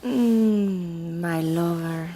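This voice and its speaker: An actress playing a possessive, ancient vampire lover, speaking with solemn, formal deliberation in a gothic horror audio drama. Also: female voice, fairly low pitch, drawn-out words, warm tone, slight background noise. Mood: tender, ominous.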